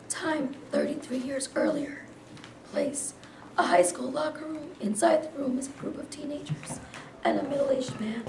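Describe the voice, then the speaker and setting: A woman reading quotations and stage directions as scripted narration for a staged play reading. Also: sits high. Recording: echoey room, distant mic, clear noise.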